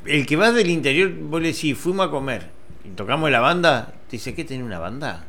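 Male radio host, Argentine accent, bright forceful tone, dry wit in loose banter. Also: fairly high pitch, expressive, a little fast. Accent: Argentine Spanish. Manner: dry, wry remarks.

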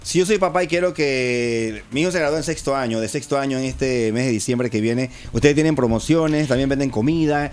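A man hosts a chatty interview at a rapid clip, his questions tumbling out with small restarts. His voice sits at a middling pitch. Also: darkish tone, smooth. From Panama. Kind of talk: local interview show.

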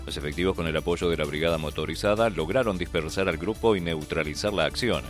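A man's voice: low voice, quick pace, faint music behind. A man reading a formal radio news bulletin. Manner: formal, steady read. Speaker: radio newsreader.

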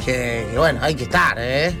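A man's voice: mid-range voice, normal speed, sharp tone. A man with an Argentine Rioplatense accent, hosting a radio show in a casual live chat style. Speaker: radio host. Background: music.

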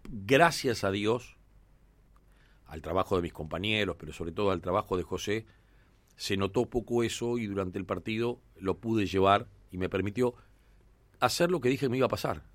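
A man with an Argentine Rioplatense accent hosts a sports radio show from the studio. His voice sits on the low side and comes through dry and close on a studio microphone. He talks conversationally and fills his pauses with "eh" and "este". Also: no echo. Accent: Argentine Rioplatense Spanish. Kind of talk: sports radio talk and commentary.

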